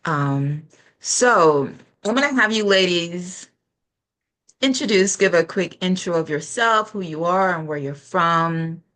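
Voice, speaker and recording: A woman's voice: lowish voice, animated, takes it slowly, a bit bright. A middle-aged woman hosting an online panel show, speaking reflectively about health in spiritual terms. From Caribbean. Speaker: host.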